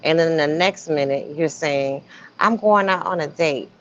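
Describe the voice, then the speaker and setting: A woman's voice: low voice, measured pace. A woman gossiping casually on a livestream in a sassy, colloquial way, with plenty of rhetorical questions. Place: remote online livestream. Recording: dull lo-fi livestream audio.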